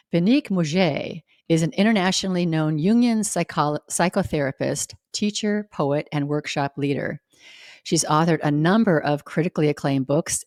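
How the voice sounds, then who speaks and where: Low-pitched woman hosting a podcast. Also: a little mellow, dry recording, studio quality. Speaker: podcast host. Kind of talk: podcast introduction and interview questions.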